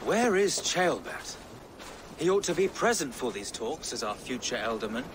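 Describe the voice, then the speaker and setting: An actor playing a pious, worried bishop in a game cutscene, speaking in a measured, cautious way. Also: male voice, medium pitch, sing-song, noisy background, natural voice. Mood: grave. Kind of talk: video game cutscene acting.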